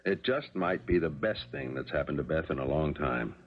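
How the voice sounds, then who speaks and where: A man's voice: extremely low pitch, lively intonation, normal speed, slight background noise. An American man playing a middle-aged father and husband, mild and puzzled, in a 1970s radio drama. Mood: mild, sympathetic. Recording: muffled 1970s radio recording.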